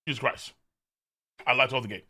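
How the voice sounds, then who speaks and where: A man hosting a livestream, chatting casually in a loud, animated, rambling way with lots of swearing and a slightly strained throat. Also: medium pitch, fast, breathy edge. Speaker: live streamer, host. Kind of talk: casual livestream chat, reading viewer messages.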